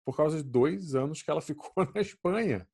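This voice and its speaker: A man swears his way through a casual podcast chat, his voice swinging widely up and down. The tone is husky and a little rough. He tells stories with lively energy. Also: on the high side, brisk. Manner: animated, swearing, storytelling.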